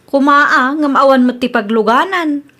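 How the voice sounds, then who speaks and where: Woman acting stubborn younger cousin, high pitched, defensive excuse maker, ilocano accent, radio comedy drama. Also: a little slow, pushed and bright. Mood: pleading.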